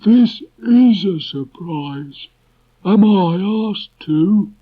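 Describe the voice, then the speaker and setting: A gloomy old donkey from a children's storybook, pitched very high and dragging out each halting phrase. He is self-important and aggrieved, declaiming dolefully on a muffled old cassette. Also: animated, full character voice.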